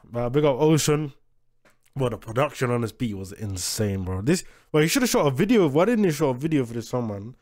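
A man's voice: medium pitch, very animated, a little fast, a little mellow. A young British man giving casual, slangy reaction commentary as a host, emphatic and often repeating himself. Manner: repetitive, emphatic, informal.

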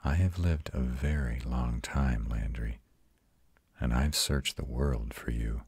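A man playing an ancient vampire who tenderly comforts his mate in a soft, intimate roleplay audio drama. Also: no room sound, heavily acted. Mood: tender, apologetic. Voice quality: very deep, husky. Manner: soft, intimate, slow reassurance. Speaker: voice actor.

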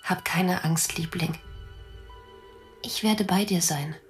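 Tender, grieving wife for radio play: breathy hush, drawn-out pacing. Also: female voice, on the low side, soft background music. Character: devoted, grieving wife of a condemned man. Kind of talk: German audio drama acting.

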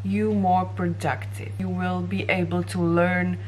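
A woman's voice: on the low side, flat delivery, unhurried, slight background noise. A young woman from Slovakia with a Slovak accent, talking straight to camera in a vlog and gesturing with her hands as she explains. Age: young adult. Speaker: vlogger. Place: bright indoor office room.

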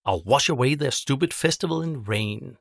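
Guy doing a mean grumpy witch for a bedtime story, scheming, dramatic n menacing, bit gravelly. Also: medium pitch, expressive, no echo, studio quality, voice pushed up. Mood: spiteful, scheming. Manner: theatrical, menacing, drawn-out incantations.

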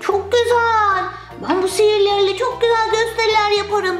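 A woman's voice: extremely high pitch, fairly even intonation, normal speed, reverberant, over music, crowd noise. A woman doing playful acted toy play for children in an exclaiming, sing-song manner. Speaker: children's toy show presenter.

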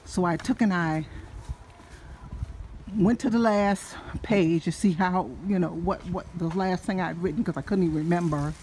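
A woman's voice: mid-range voice, darkish tone, slight background noise. An older woman chatting in a rambling vlog as she walks along a residential street at dusk. She keeps losing her thread and laughs often, filmed on a handheld phone. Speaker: vlogger. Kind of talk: casual walk-and-talk vlog. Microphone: handheld phone, filming the street ahead while walking.

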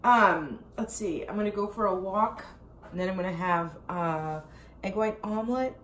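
A woman in her forties, hoarse from a sore throat, chatting in a rambling, self-deprecating way in a casual video diary filmed in her home kitchen. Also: medium pitch, moderate pace, slight background noise. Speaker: diet vlogger. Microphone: camera at arm's length.

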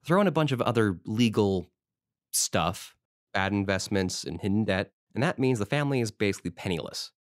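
A man playing a low-voiced, chatty local historian. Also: lively intonation, a little fast, dry recording, studio quality, natural voice. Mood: eager storytelling. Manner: informal, rambling storyteller. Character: male small-town historical society president, eager, chatty local. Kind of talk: conversational audio drama monologue.

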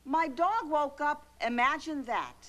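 An actress playing a gentle, encouraging mother, speaking expressively to young children in a children's show. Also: sits high, animated, measured pace, noisy background. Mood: animated storytelling. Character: gentle, encouraging mother reading a bedtime poem. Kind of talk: children's show acting and animated read-aloud.

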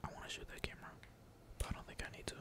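A gamer speaks entirely in a breathy whisper, giving casual ASMR commentary on their play. The talk is slangy and peppered with swearing, while computer keys clack behind it. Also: racing. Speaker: gaming video creator. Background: computer keyboard typing.

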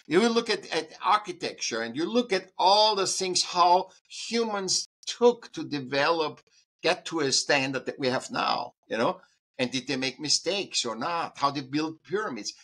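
A man's voice: on the high side, animated, moderate pace, fairly bright tone. A man, a guest artist and educator, talking in an interview in an emphatic way, restarting sentences and repeating points for stress. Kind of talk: conversational interview.

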